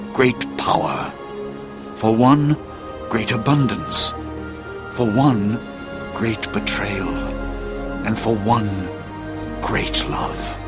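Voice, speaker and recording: Slow, expressive storyteller. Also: male voice, fairly low pitch. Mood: grave, dramatic. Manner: theatrical, deliberate. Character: wise, solemn storyteller recounting a fallen kingdom's tale. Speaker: narrator. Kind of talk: dramatic audio drama storytelling.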